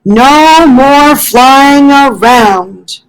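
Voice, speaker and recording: Lady doing a worried little storybook animal, high voice, super earnest and pleading, really emphatic. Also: drawn-out words, low-quality recording. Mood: firm, caring. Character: earnest anxious young animal friend of a tree.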